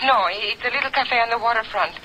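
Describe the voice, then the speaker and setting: A woman's voice: fairly high pitch, fast talker, pushed and bright, poor audio. A woman acting a frightened, pleading woman on the run, heard through a telephone filter in a 1950s radio drama. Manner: breathless urgency, pleading. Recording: thin phone voice, faint noise.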